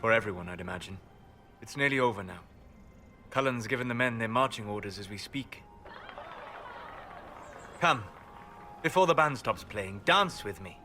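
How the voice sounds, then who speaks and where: A man acting as a solemn, scholarly elven mage in a video game cutscene, speaking in a measured, formal and reserved but tender way. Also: lowish voice, lively intonation, bright, forceful tone, faint music behind. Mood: soft, wistful. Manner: measured, formal, contemplative phrasing.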